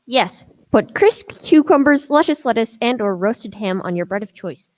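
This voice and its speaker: A woman's voice: fairly high pitch, expressive, normal speed, lightly acted. A young woman playing a keen sandwich seller in an amateur scripted radio ad.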